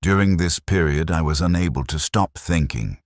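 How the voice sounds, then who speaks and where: A man narrating a scripted first-person military story in a detached, measured, clinical manner. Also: a bass voice, no room sound, studio quality.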